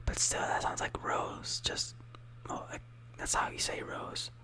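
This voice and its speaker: A speaker whispering a casual ASMR lesson, softly repeating words and rambling with lots of fillers. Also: measured pace. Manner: soft whispering throughout. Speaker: teacher of Italian words.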